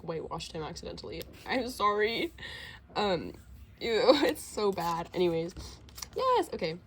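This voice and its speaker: A woman's voice: fairly low pitch, a little hiss or hum. Rambling teen girl with a slight cold. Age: teens. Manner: rambling, filler-heavy, self-deprecating. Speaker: vlogger. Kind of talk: casual sketchbook tour.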